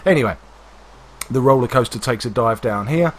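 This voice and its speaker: A man hosting a game livestream, hoarse and congested from a cold, chatting casually with many fillers. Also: medium pitch, expressive, moderate pace. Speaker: livestream host. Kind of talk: casual gameplay commentary.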